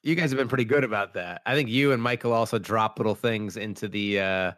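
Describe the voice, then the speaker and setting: Host, young man, lower voice, dry close sound, rambling chatty delivery. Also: fast talker, a bit bright, no echo. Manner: rambling, many "like" fillers, acts out quoted lines. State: voice worn after being sick. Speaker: podcast host. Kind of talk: casual chat.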